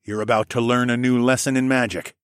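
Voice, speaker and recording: A man's voice: mid-range voice, level tone, brisk, clear, resonant tone, no echo, studio quality, lightly acted. A man voicing a fierce, smug dragon shifter hero with a firm, threatening manner in a fantasy audiobook. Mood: menacing.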